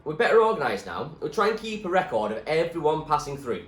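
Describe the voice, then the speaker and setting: A man playing a frank male doctor in a storybook reading, explaining and cautioning with firm emphasis as he gently breaks bad news. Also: on the high side, very animated, quick pace. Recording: echoey room with clear background noise.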